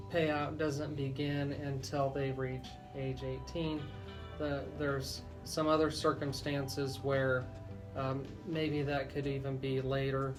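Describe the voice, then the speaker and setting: Guy lawyer on talk radio explaining stuff carefully, music behind him. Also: a little high. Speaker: radio show host and lawyer. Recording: music bed under the voice.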